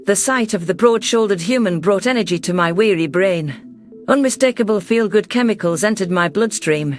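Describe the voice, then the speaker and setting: A man reading first-person science fiction narration in a steady, measured, scripted audiobook style. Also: high voice, soft background music.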